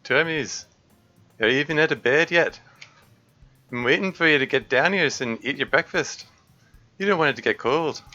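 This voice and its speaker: A man's voice: a little high, normal speed, long pauses, pushed and bright, pitched above the performer's own voice. A man voicing a stern middle-aged uncle with a Yorkshire accent, nagging his nephew to get up. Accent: Yorkshire, as attempted. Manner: gruff, nagging, raised voice. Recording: dull lo-fi stream audio, faint music.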